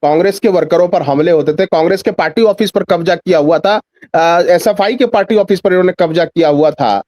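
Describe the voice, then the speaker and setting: A man's voice: high-pitched, fairly quick, a little mellow, no room sound. A man giving extended political commentary as a guest in a news discussion, emphatic, repeating phrases and posing rhetorical questions.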